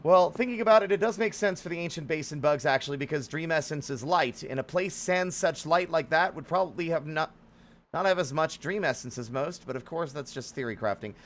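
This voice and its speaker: A man's voice: fairly high pitch, fairly quick. A man livestreaming a game, chatting casually with playful, self-interrupting, sweary commentary.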